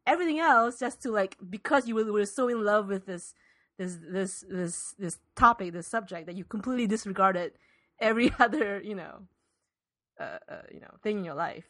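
A woman's voice: mid-range voice, normal speed, a bit bright. A woman co-hosting a podcast in casual, opinionated chat, emphatic and circling back over her phrases. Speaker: podcast co-host.

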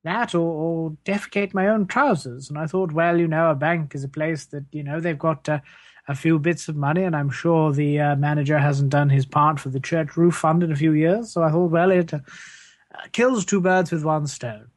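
A man's voice: a little high, steady tone, normal speed, no room sound, close to an everyday voice. Male performer as a British clergyman, blithely shameless and faintly menacing, evasive and waffling, for sketch comedy; dry sound. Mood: blithe, rambling. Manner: hesitant, evasive, rambling, falsely polite. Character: shifty, menacing, blithely shameless parish reverend.

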